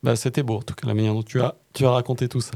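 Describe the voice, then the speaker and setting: Animateur hésitant à la voix éraillée de friture. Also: male voice, mid-range voice, little pitch movement, vocal fry, warm tone. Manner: hesitant, halting. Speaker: podcast host. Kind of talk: unscripted hosting.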